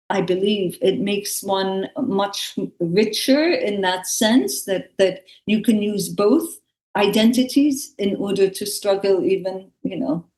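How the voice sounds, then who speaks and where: Echoey, halting woman. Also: mid-range voice, a little mellow. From Lebanon. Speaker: theatre artist and actress, panel guest. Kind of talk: reflective panel conversation. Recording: echoey room sound.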